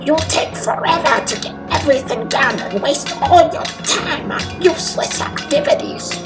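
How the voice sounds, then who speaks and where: A woman's voice: sits high, expressive, moderate pace, over music. A woman playing a resentful male lab henchman in an old-time radio comedy mystery. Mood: bitter, resentful. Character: male lab henchman Igor, fawning then bitterly resentful.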